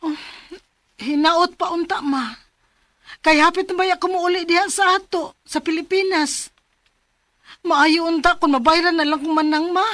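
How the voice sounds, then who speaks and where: An actress playing an earnest, plaintive young Filipina overseas worker in a radio drama. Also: female voice, very high-pitched, steady tone, unhurried, pauses often, a bit bright. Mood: worried. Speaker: radio drama lead and narrator.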